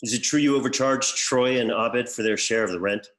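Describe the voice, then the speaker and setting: An actor playing a stern, deadpan interrogator in a scripted sitcom table read, questioning formally. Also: male voice, mid-range voice, fairly quick, natural voice. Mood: formal, businesslike. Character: stern formal male interrogator running lie-detector questioning.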